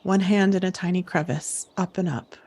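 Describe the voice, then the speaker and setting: A woman hosting as a camp director, coaching and storytelling in short, deliberate, encouraging phrases. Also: on the low side, fairly even intonation, mellow. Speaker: host, playing a camp director guiding campers. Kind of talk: guided imagery coaching, storytelling.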